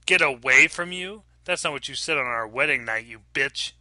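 A man's voice: mid-range voice, normal speed, no room sound. A man voicing a drunk, violent, taunting husband in a horror story. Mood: sneering. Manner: taunting, shouted outbursts.

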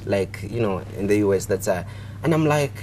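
A man's voice: lowish voice, lively intonation, moderate pace, a little mellow, rough recording. A young man with a Ugandan accent hosting a casual TV interview, talking in a chatty, repetitive way. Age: young adult. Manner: chatty, repetitive, punctuated with "you know". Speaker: TV host. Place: TV studio. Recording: clearly audible background noise.

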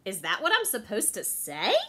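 A woman voicing a calm, clever female little deer with a patient, playfully innocent manner. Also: mid-range voice, normal speed, slightly rough, heavily acted.

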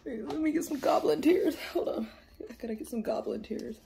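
A woman doing a high, whiny sobbing goblin. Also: fairly quick, creaky, dark tone, a little hiss or hum. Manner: fake sobbing, whining and sniffling. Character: whiny sobbing self-pitying goblin creature. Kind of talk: comedic character monologue to camera.

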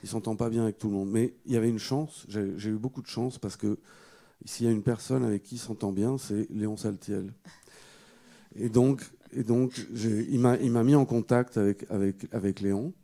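A middle-aged man telling a story at a panel discussion in a halting, hesitant way, often repeating words, into a handheld microphone. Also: fairly low pitch, fairly even intonation, mellow. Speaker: guest speaker, photographer. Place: indoor auditorium stage. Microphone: handheld microphone held close.

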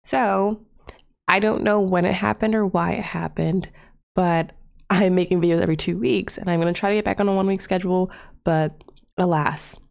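A young woman with a stuffed-up nose talks through her gameplay in a loose, rambling way, full of fillers and little asides. Her voice sits a touch low, with a gravelly fry at the ends of phrases. She is close to a desktop microphone. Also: fairly even intonation, normal speed. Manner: chatty, rambling, with many fillers. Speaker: Let's Play creator. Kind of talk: casual gameplay narration. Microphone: desktop USB mic.

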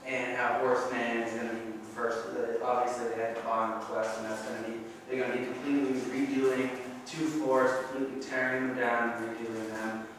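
Young man, student president, monotone, halting with fillers, echoey room, distant mic. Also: medium pitch, background noise. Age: young adult. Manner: halting, many ums and uhs. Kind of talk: meeting report. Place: meeting room. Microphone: far camera.

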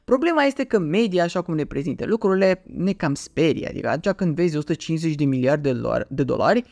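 A man's voice: on the high side, lively intonation, gravelly, darkish tone, no echo, studio quality. A man, explaining things casually to camera in a conversational way, with rhetorical questions and repeated words for emphasis. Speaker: finance YouTuber, host. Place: small dim home room, indoors.

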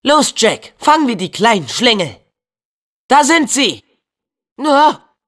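A man's voice: very high-pitched, big pitch swings, slow pace, a bit bright, heavily acted. A young man voicing a plucky, kind-hearted teenage boy hero in a video game dub, earnest and spirited, with casual youthful phrasing. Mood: brisk.

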